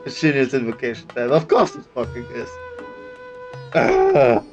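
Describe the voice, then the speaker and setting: A man's voice: medium pitch, wildly swooping pitch, a little slow, music in the background. A man casually reacting to a video game, sarcastic and free with swearing, on a slightly lo-fi recording.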